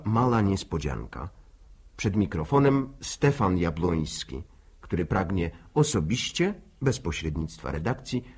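A man's voice: on the low side, darkish tone, slightly rough. A man announcing on 1960s radio, reading out listener letters in a formal, courteous manner.

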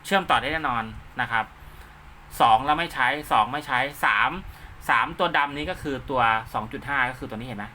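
Guy doing a casual how-to, sharp bright voice, walks you thru each step, some noise behind him. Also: medium pitch, fairly quick. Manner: step-by-step, often checking the listener follows. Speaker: instructor, channel host. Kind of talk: informal hands-on tutorial.

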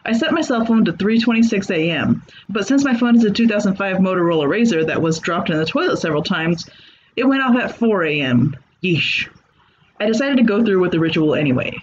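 A man's voice: high voice, lively intonation, brisk. Guy reading a funny creepy story, dry sarcastic tone, kinda dull sound with light hiss. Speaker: narrator. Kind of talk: comedic creepypasta narration.

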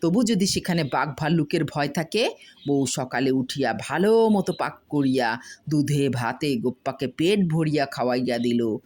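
Female storyteller, low pitch, lively intonation, expressive humorous folk-tale narration. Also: moderate pace.

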